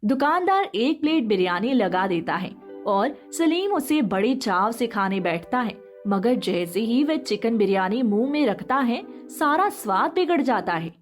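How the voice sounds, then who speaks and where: High-voiced woman narrating a moral story. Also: sing-song, measured pace, few pauses, faint music behind.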